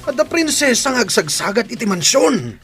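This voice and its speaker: A man's voice: very high-pitched, sing-song, normal speed, a bit bright, soft background music, full character voice. A man with an Ilocano accent playing a boastful, stammering driver who poses as the boss in a radio comedy drama. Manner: pompous, stammering. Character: boastful, bumbling male driver posing as boss.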